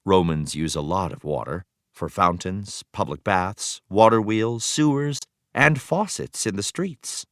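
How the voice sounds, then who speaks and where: A man reading an educational text aloud as a teacher, with expressive storytelling emphasis. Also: low voice, a little slow, few pauses, no echo, clean studio sound. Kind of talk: scripted educational read-aloud narration.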